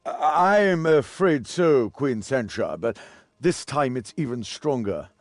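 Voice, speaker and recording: A man's voice: medium pitch, animated, slow, no echo, studio quality. A man playing a grave, formal and worried magical scholar in a fantasy video game. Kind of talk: dramatic video game voice acting.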